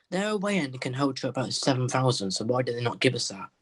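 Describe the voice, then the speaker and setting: Teen male, mid pitch, hesitant and sighing, phone call. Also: big pitch swings, fairly quick, fairly bright tone. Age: teens. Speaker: co-host. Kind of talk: casual chat on a phone video call.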